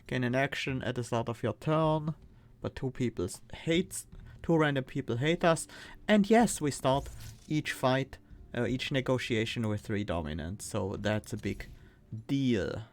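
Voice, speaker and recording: A man with a Swiss accent, streaming a card game and thinking aloud, reading cards and weighing choices with pauses and fillers. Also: mid-range voice. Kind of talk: casual gameplay commentary.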